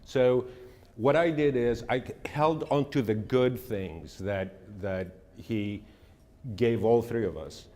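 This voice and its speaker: A middle-aged man from Greece, giving a measured, earnest presentation and answering audience questions. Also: medium pitch, mellow, big-room sound. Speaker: speaker.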